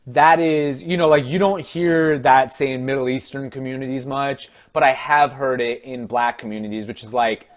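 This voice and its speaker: A young man from California hosting a casual conversation, relaxed and full of fillers like "you know". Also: mid-range voice, moderate pace, few pauses. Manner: relaxed, filler-heavy, mimics others. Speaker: podcast host.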